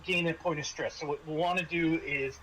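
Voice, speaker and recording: A man's voice: on the high side, fairly even intonation, a little fast. A man acting as game master of a tabletop role-playing game, explaining rules and narrating the players' actions. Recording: faint background noise.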